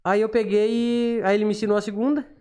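A man's voice: sits high, level tone, fast, dark tone, clean tone. A man in his thirties with a southern Brazilian gaucho accent, talking casually as a podcast guest. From Rio Grande do Sul, southern Brazil. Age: 30s. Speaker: podcast guest, self-taught accordion player and teacher. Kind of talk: casual storytelling in an interview. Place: small studio, indoors. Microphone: podcast microphone on boom arm, talking through cloth mask.

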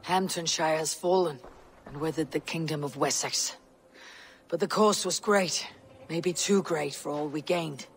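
A young viking warrior, solemn n loyal, voice a bit high, video game drama. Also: male voice, slight background noise. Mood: weary. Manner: solemn, measured. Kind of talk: dramatic game cutscene acting.

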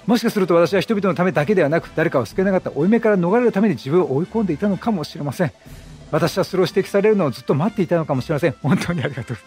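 A man's voice: high-pitched, lively intonation, very fast, darkish tone, faint music behind. A man voicing an earnest, troubled traveling priest from a video game in polite, solemn phrases.

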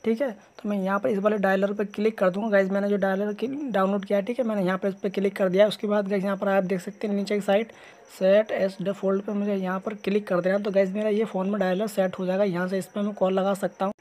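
Man, rapid delivery, monotone, informal step-by-step phone tutorial voice-over. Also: high voice. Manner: repetitive, addressing viewers informally as guys. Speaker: tech tutorial host. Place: voice-over on a phone screen recording.

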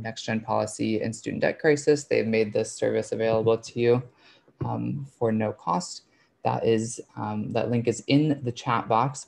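A man presenting an informal explanatory webinar, walking listeners through things step by step in a creaky voice. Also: medium pitch, a little mellow. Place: online video call webinar.

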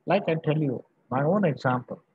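Husky Indian professor, muffled audio. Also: male voice, a little high, expressive, no room sound. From Varanasi, northern India. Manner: emphatic, halting short phrases with repetition. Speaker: university professor. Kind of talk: lecture to online students. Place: small indoor room. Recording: muffled, dull video-call audio.

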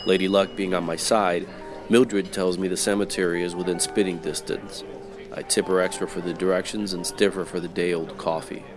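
A man playing a wry, world-weary private detective narrating a 1940s-style radio noir, terse, slangy and deadpan. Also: low voice, moderate pace, loud music behind the voice, lightly acted.

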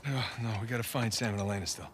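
An actor playing a wry, weary, middle-aged American adventurer in a video game, talking casually and sometimes hesitantly. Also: male voice, lowish voice, racing, slight background noise, lightly acted. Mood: matter-of-fact. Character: wry, weary adventurer man, affectionate and easygoing. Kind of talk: cinematic video game acting, naturalistic.

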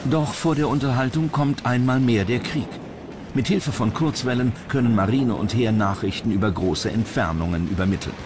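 A man's voice: medium pitch, moderate pace. A man from reading scripted documentary narration. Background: music bed under the voice.

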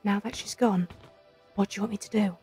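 A woman's voice: medium pitch, fairly even intonation, fairly quick, vocal fry, warm tone, soft background music, close to an everyday voice. A woman playing a wry, spirited young adventurer companion in an audio drama.

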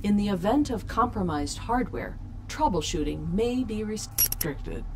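A woman's voice: mid-range voice, expressive, measured pace. A woman playing a polite, officious computer assistant in an audio drama, speaking in a formal, stilted way. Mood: politely officious. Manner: formal, stilted, scripted helpfulness. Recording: noisy background.

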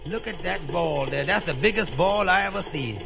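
An old, muffled radio comedy recording carries an earnest, humble cab driver. The voice sits high and crackles with heavy creak. The talk is folksy, ungrammatical and respectful. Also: male voice, normal speed. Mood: awestruck. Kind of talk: 1930s radio comedy acting. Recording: dull, lo-fi 1930s radio recording.

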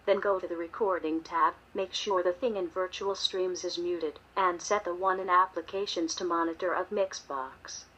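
A synthetic woman's voice reads text as a screen reader. It is forceful and rough-edged, and the playback sounds dull and lo-fi. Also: lowish voice, steady tone, moderate pace, hardly any pauses. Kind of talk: synthetic text-to-speech readout. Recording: dull playback from computer speaker.

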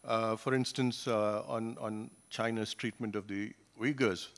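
An older man gives a panel talk at a conference table, speaking into a gooseneck microphone. His delivery is measured and thoughtful, often pausing on fillers and restarting his phrases. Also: fairly low pitch, fairly even intonation, creaky. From Singapore. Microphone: table gooseneck mic.

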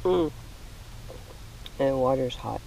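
A woman's voice: extremely low pitch, lively intonation, slow pace, gravelly, dark tone, slight background noise, low-quality recording. A young woman keeping up a casual running commentary while bank fishing, chatting to her dog and the fish, recorded outdoors on a head-mounted camera. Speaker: fishing vlogger. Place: rocky lakeshore outdoors. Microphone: head-mounted action camera.

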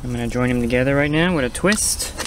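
A man narrates a hands-on tutorial in a plain, casual way, explaining each step as he works. His voice sits at a middling pitch. The recording is made outdoors, with clear ambient noise behind him. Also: fast talker. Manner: plain-spoken, step-by-step instructing. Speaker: how-to host, pool equipment installer. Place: outdoors at a small backyard equipment pad.

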